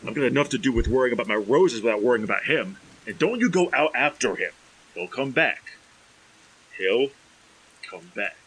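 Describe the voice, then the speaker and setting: A man's voice: medium pitch, lively intonation, moderate pace, fairly bright tone, slight background noise, natural voice, low-quality recording. A man playing an irritable, scolding middle-aged man obsessed with his plants, in 1940s radio drama style. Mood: stubborn, irritable. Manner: scolding, impatient, sputtering.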